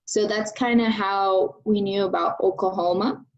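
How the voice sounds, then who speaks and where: A young woman from Venezuela in her twenties, an engineering graduate student answering interview questions at an unhurried pace with many ums. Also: medium pitch, steady tone, highs cut off.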